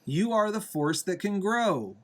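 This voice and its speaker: A man, a father, reading a picture book aloud to a young child in a deliberate, gently explaining, instructive way. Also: high-pitched, lively intonation. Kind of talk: read-aloud storybook narration with asides to a young child.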